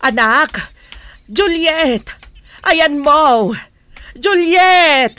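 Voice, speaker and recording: An actress playing a worried mother who rushes her sick daughter to hospital, anxious and calling out loudly. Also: high-pitched, expressive, no echo, exaggerated voice. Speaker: radio drama actor. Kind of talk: radio drama acting.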